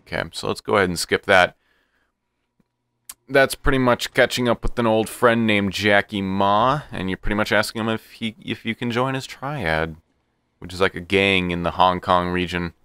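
A man hosting a casual let's play, talking to himself and the game in an excitable, profane way. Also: lowish voice, moderate pace, clean tone, dry recording. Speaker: gaming channel host.

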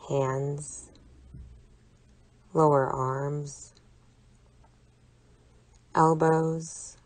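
Low-pitched woman, very slow guided meditation. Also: no room sound. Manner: soothing, deliberate, gently instructive. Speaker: psychologist leading a relaxation exercise. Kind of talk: guided imagery meditation narration.

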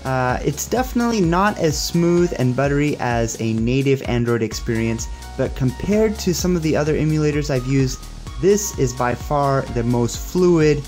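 A young man talks through a casual product demo at a medium pitch. He sounds upbeat and approving, like a tech reviewer showing things as he goes. Music plays behind his voice. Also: expressive, moderate pace, a little mellow.

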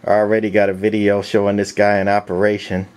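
A man with a fairly low voice talks through a piece of gear casually and without a script. His delivery is matter-of-fact and halting, broken by pauses as he goes. He is recorded indoors on a handheld camcorder's own mic. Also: completely flat delivery, a little mellow, smooth. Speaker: vintage radio collector presenting a walk-through. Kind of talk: casual unscripted product walk-through. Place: indoors, small room. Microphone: handheld camcorder's built-in mic.

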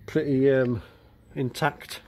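A man giving casual walkaround commentary as a vlogger, halting and thinking aloud as he goes. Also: mid-range voice, fairly even intonation, darkish tone. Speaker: vlogger exploring an abandoned building. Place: outdoors, walking around a building. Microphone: handheld camera mic. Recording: outdoor ambient noise.

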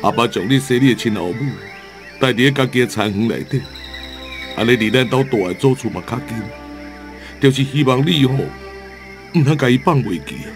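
A man's voice: medium pitch, fairly quick, long pauses, close to an everyday voice. Man acting emotional rural father over music. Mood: sorrowful, earnest. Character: grieving, stern, hot-tempered rural father. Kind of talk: radio drama acting.

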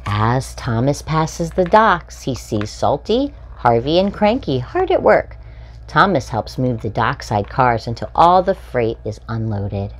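A woman in her forties reading a children's picture book aloud, expressively and with deliberate phrasing for young children. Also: a bass voice, big pitch swings. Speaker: storytime host reading aloud. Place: small furnished room indoors.

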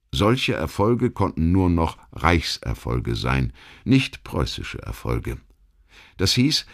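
A man narrating a history documentary audiobook, reading a literary text in a measured, articulate way with rhetorical emphasis. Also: deep voice. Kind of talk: scripted documentary history narration, read aloud.

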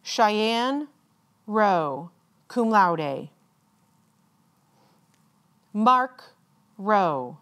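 A woman reads out names as a commencement announcer, in a formal ceremonial style with measured, deliberate enunciation and pauses. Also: mid-range voice, lively intonation, a bit bright.

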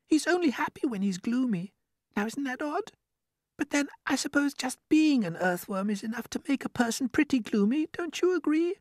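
A genteel lady ladybird from a storybook, played by a narrator. She confides kindly and a little fretfully in a very high, swooping voice, close and dry. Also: expressive, normal speed, few pauses, no echo. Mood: gently confiding. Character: kindly, gossipy female ladybird, gently fretful. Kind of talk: storybook character voice.

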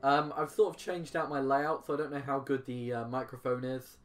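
A man's voice: medium pitch, flat delivery, fairly quick. A man doing casual gameplay commentary, sarcastic and exclaiming with mild swearing. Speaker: gaming YouTuber.

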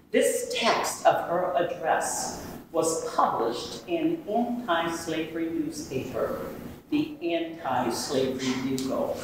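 A middle-aged woman reading narration in a measured, dramatic way in a museum hall. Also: sits low. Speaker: reader. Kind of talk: readers theater narration. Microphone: far camera. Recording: echoey hall, distant camera, faint noise.

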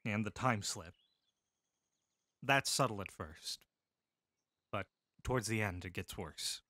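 A man voicing a calm, caring male medic soldier who speaks steadily, seriously and with compassion. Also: fairly low pitch, slow pace, dry recording. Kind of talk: full-cast audiobook acting.

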